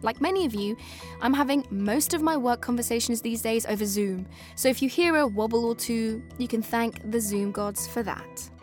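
Higher-pitched British woman hosting a podcast. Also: moderate pace, a little mellow, soft background music. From born in Afghanistan, moved to the UK. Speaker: podcast host. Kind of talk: scripted podcast narration.

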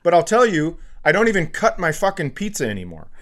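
Higher-pitched American man, ranting comic storyteller. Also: huge pitch swings, fast, a bit bright. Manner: profane, exasperated self-mocking rants. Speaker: comedy podcast co-host. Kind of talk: casual comedic storytelling.

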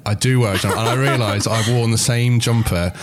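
A man's voice: medium pitch, steady tone, brisk. An English man hosting a football podcast, chatty and self-deprecating, slightly losing his voice with a sniffle. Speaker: podcast host. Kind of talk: casual chat.

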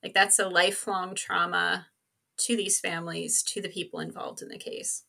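Bright-voiced woman in casual podcast conversation. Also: on the low side, flat delivery, moderate pace, gravelly. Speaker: podcast guest and co-host of another true crime show. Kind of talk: casual conversational interview talk.

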